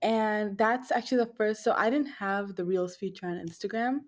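Podcast guest, female, twenties, nasal mid-pitched voice, casual and conversational delivery. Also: steady tone, moderate pace. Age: 20s. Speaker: podcast guest, food blogger.

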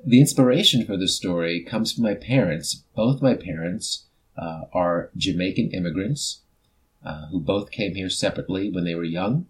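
Very deep-voiced man introducing his work. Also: moderate pace, poor audio. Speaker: featured storyteller, author and actor. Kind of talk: self-introduction and book presentation.

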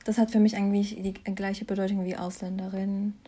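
Young woman with an even, steady pitch. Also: fairly quick. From Mannheim, Germany. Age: young adult. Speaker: interview guest, science student. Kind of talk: casual conversational interview, personal account.

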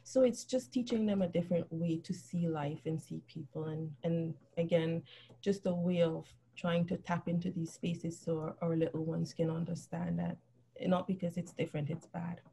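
A woman talking earnestly and reflectively as a guest on a casual podcast, pausing often. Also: on the low side, steady tone, vocal fry, mellow, a little hiss or hum, rough recording. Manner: earnest, reflective, frequent ums. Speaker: children's book author, guest.

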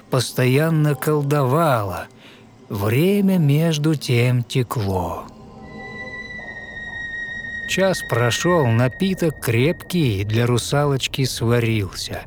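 A man narrating a fairy tale in verse as a storyteller, with measured, rhythmic, dramatic delivery. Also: medium pitch, expressive, darkish tone, faint music behind. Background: musical score.

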